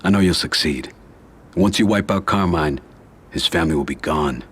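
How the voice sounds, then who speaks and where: An actor playing a calculating mafia don in a video game, giving counsel in a measured way. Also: male voice, low-pitched, slight background noise, close to an everyday voice. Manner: measured, advising. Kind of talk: video game crime drama acting.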